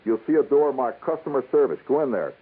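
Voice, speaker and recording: An American man voicing a sour, world-weary elevator operator who grumbles gruff warnings in a radio story. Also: medium pitch, sing-song, quick pace, voice pushed up. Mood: sour, grumbling. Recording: dull lo-fi radio broadcast.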